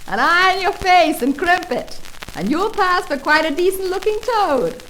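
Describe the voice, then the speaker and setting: A woman voicing a loud, mocking barge woman who calls out taunts in a storybook reading, on a noisy old record. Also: very high voice, expressive, normal speed, pitched above the performer's own voice, full character voice. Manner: taunting, calling out.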